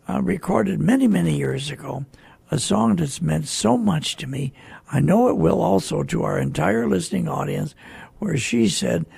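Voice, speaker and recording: An older man hosting a radio program informally, with a gravelly, husky voice and an earnest, halting way of repeating himself. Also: high voice, darkish tone, no echo. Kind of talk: informal hosting, introducing songs.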